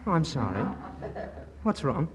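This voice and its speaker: A man's voice: a little high, big pitch swings, unhurried. Through a faintly noisy old radio recording comes a young Englishman, polite and earnest. His hesitant, courteous delivery swings freely up and down, in 1940s radio comedy manner.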